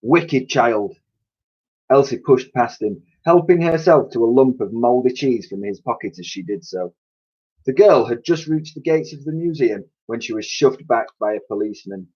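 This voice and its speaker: A man's voice: medium pitch, lively intonation, normal speed, dark tone, breathy edge, muffled recording. A man reading a children's storybook aloud expressively, like a teacher addressing his pupils.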